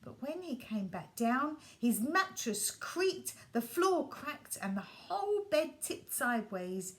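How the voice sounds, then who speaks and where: A woman reading a children's bedtime story aloud, gentle and expressive, sometimes dropping her voice soft. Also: sits high, slow.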